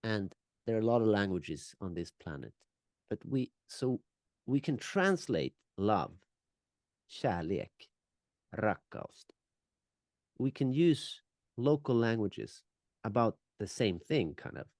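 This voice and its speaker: A man's voice: on the low side, sing-song, slow pace, pauses often, darkish tone, no room sound, studio quality. A Finnish man, a native Finnish speaker, co-hosting a reflective conversation and searching for words with frequent restarts. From Finland. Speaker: podcast co-host.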